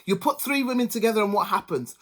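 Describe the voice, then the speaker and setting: A woman's voice: mid-range voice, lively intonation, quick pace, pitched above the performer's own voice, close to an everyday voice. A woman voicing an indignant young husband who scolds and complains, in a whiny, raised voice. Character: indignant young husband, demanding and self-pitying.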